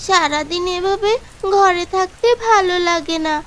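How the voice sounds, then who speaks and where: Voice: very high voice, a little slow, a bit bright. A performer voicing a little village girl in a cartoon, childlike and pleading. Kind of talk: Bengali fairy-tale cartoon voice acting.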